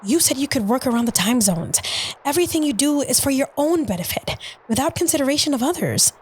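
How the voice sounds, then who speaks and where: A woman's voice: sits high, animated, fairly quick, a little mellow. A young woman playing a weary, resentful long-distance girlfriend in an audio drama phone call, curt and exasperated. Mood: annoyed, accusing. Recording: noisy phone-call style recording.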